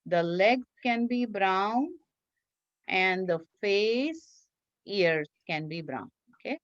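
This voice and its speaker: A woman's voice: medium pitch, animated, takes it slowly, no room sound. A woman teacher leading a children's craft class, giving simple step-by-step instructions and repeating them in an encouraging way. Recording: dull lo-fi online class audio.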